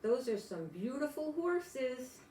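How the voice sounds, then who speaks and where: An older grandmother reads a children's story aloud. Her delivery is slow and very animated, with exclamations aimed at young listeners. The room around her sounds echoey. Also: high voice, a little hiss or hum. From United States. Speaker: grandmother reading picture books aloud. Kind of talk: children's storybook read-aloud with chatty asides.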